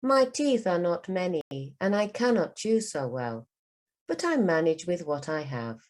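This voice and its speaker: A woman voicing a kindly, good-humoured old grandfather in a storybook, with gentle, measured delivery. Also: on the low side, big pitch swings.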